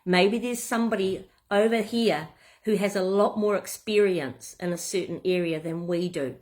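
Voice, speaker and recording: A woman's voice: medium pitch, normal speed. Kiwi businesswoman, casual talking-head delivery, easygoing with fillers. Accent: New Zealand. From New Zealand. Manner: relaxed and conversational, with frequent fillers and restarts. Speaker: business coach.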